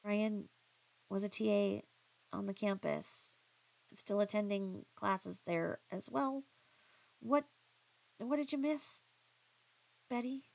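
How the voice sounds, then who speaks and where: A woman giving critical reaction commentary in a pointed, halting, questioning manner. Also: medium pitch, steady tone, gravelly, darkish tone, rough recording. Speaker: commentary vlogger.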